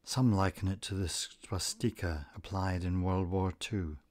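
A man narrating a scripted guided meditation, giving gentle instructions in a calm, deliberate manner. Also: low voice.

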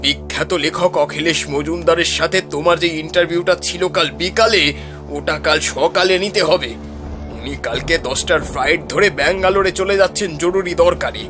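Man voicing demanding male boss, stern, authoritative, fairly high voice, music behind, cartoon drama. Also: brisk, close to an everyday voice. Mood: businesslike. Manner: scolding, authoritative. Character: stern, pushy male newspaper boss on the phone.

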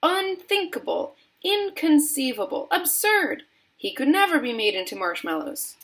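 A woman voicing an excitable, breezy male chocolate factory owner in a storybook, emphatic and dismissive of worries. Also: mid-range voice, measured pace, gravelly. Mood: emphatic, amused.

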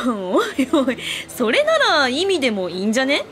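A woman's voice: sits high, huge pitch swings, normal speed, a bit bright, exaggerated voice. A woman playing a brash, teasing tomboyish young woman in a light radio drama, blunt and giggly. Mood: playful, breezy. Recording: music behind the voice.

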